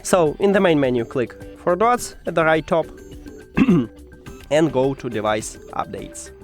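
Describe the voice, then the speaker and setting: A man with non-native English walks through a device tutorial step by step. His voice sits a little high and swings up and down in a lively way, though his phrasing is halting. Soft music plays faintly behind him. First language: not English. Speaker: tech tutorial presenter.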